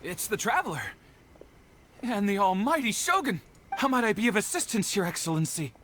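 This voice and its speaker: A woman's voice: medium pitch, very animated, normal speed, fairly bright tone, a little hiss or hum. A woman voicing a deferential, stammering, eager-to-please estate attendant in a video game. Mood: surprised, respectful. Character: flustered, humble, eager-to-please servant guard of a noble estate.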